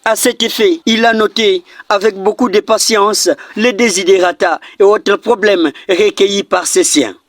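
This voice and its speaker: A man's voice: high-pitched, fairly even intonation, a little slow, hardly any pauses, fairly bright tone, dry recording, studio quality. A man reading a formal scripted news report as narration.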